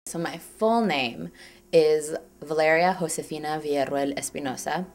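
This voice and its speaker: A woman's voice: low voice, moderate pace. A woman in her twenties from Chile, telling personal stories in a chatty, casual way, full of "like" and acted-out quotes. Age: 20s. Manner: chatty, lots of "like", acts out quotes. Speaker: documentary subject. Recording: music playing underneath.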